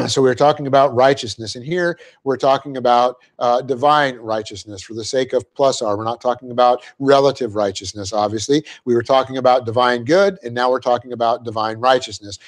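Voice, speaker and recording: Man, Bible class teacher, verse-by-verse lecture, explains Greek grammar, spells out words, clears throat, says "okay" a lot. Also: mid-range voice, a little fast, few pauses.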